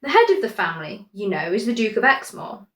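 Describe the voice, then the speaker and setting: A woman's voice: mid-range voice, big pitch swings, a little fast, fairly bright tone, reverberant. A woman reading a witty male journalist's letter in a wry, conversational way.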